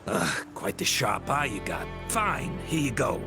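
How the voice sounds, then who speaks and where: An actor playing a rough, bullying bandit in a game, drawling slang threats and stammering when cornered. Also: male voice, mid-range voice, very animated, a little slow. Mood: evasive. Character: gruff rough-talking bandit man, bullying. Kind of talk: video game voice acting. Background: music.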